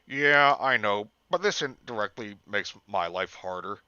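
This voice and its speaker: A man's voice: on the low side, lively intonation, measured pace, bright, forceful tone, clean tone, no echo, muffled recording, exaggerated voice. A man doing a grumbling, annoyed teen boy. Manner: scolding, annoyed.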